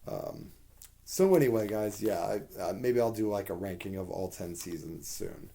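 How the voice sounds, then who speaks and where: A man with a hoarse voice giving a rambling, candid spoken TV review, with plenty of fillers and the odd curse. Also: deep voice, big pitch swings, moderate pace, warm tone.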